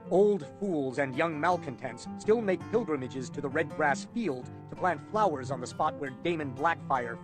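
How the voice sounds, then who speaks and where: A man voicing a cold, imperious lord and royal minister, measured, formal and contemptuous, in an audiobook. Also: a little high, lightly acted.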